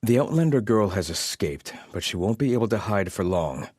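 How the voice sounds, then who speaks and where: An actor playing a proud, bitter soldier of an exiled sun-worshipping tribe in a video game, speaking in formal, theatrical phrases. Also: male voice, on the low side, very animated, moderate pace, darkish tone, no room sound, studio quality. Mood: haughty, disdainful. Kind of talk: scripted game combat barks and guard chatter.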